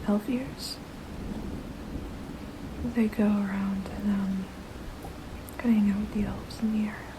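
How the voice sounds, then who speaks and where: A woman voicing a gently protective, motherly vampire in a soft, breathy ASMR whisper, casual and soothing. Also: mid-range voice, background noise, close to an everyday voice. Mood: gentle, reassuring. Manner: hesitant, casual, soothing, unhurried.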